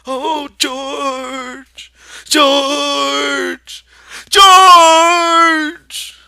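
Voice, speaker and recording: A man voicing a big, childlike, simple-minded man who is pleading and excitable, whiny, with a rural American accent. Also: very high-pitched, very slow, creaky, no room sound, clean studio sound, voice pushed up, exaggerated voice. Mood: frantic.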